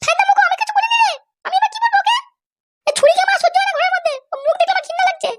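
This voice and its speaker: A performer voicing a shrill, defiant young wife who screeches accusations in a comedy cartoon. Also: rapid-fire, very noisy. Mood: accusing.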